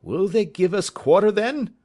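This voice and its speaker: A man's voice: low-pitched, huge pitch swings, slow pace, fairly bright tone, pitched below the performer's own voice, heavily acted. A man voicing a wary mutineer sailor who calls back from a distance in a storybook reading. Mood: wary calling.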